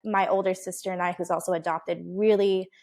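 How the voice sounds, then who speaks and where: A young woman talks casually as a guest on an interview show. Her voice sits a little low for a woman, and she sounds upbeat and chatty, with lots of small fillers and easy laughter. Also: flat delivery, brisk, a little mellow. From United States (Oregon). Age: 20s. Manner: bubbly and chatty, laughs often, many fillers.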